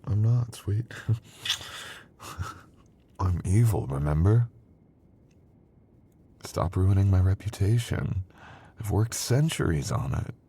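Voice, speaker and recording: Man playing a very deep, roguish sorcerer boyfriend. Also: expressive, takes it slowly, long pauses, warm tone, exaggerated voice. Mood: playful. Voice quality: very deep, gravelly.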